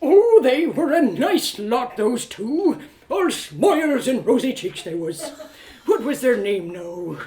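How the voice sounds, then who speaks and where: A woman playing a cheerful, forgetful old male innkeeper who rambles slowly in an acted audio story. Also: high-pitched, sing-song, slight background noise. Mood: musing.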